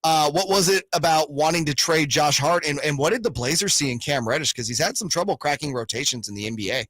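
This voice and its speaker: A man's voice: on the high side, fast talker, fairly bright tone, no room sound. A man hosting a sports radio show, asking questions in a conversational interview style.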